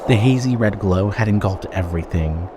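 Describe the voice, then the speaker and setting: A man with a fairly low voice narrates a horror story from a script. His delivery is dramatic, and a faint bed of ambient noise sits behind him. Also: normal speed.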